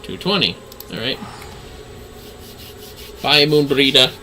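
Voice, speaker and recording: A man's voice: mid-range voice, animated, takes it slowly, bright, forceful tone, slight background noise. A man giving casual livestream commentary on a game, with playful, theatrical asides.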